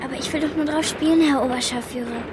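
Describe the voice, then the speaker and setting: A woman's voice: sits high, steady tone, quick pace, background noise, natural voice. A woman in a German radio drama playing a nine-year-old refugee girl with a childlike manner. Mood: timid, obedient. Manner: childlike, timidly obedient to authority.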